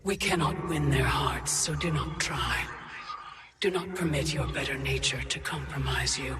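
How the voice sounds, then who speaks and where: An actress voicing a cold, commanding ancient female timekeeper in a video game cutscene, speaking in stern, measured orders, with loud orchestral music behind. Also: a bass voice.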